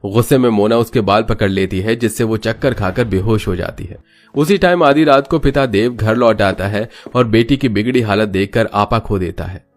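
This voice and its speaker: A man's voice: on the low side, moderate pace. A man narrating a scripted moral story as a storyteller. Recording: music bed behind voice.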